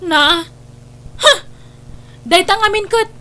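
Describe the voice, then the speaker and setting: A woman acts out a defiant, nagging Ilocano wife in a domestic radio drama. Her voice is very high, and she is insistent and full of reproach. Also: slow pace, bright, forceful tone, heavily acted. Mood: reproachful. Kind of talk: Ilocano radio-style family drama acting.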